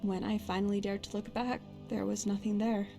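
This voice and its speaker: Actress as young female hunter, fantasy game companion, bold yet haunted, natural conversational delivery, medium pitch, faint music behind. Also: flat delivery, fairly quick, creaky, warm tone, close to an everyday voice. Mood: haunted, regretful. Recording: music under the voice.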